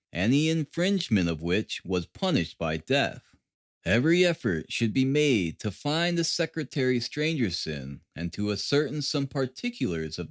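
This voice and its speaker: A man narrates a classic novel as an audiobook. His pitch moves expressively through the scripted prose, and the recording is dry, with very little room around the voice. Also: no room sound.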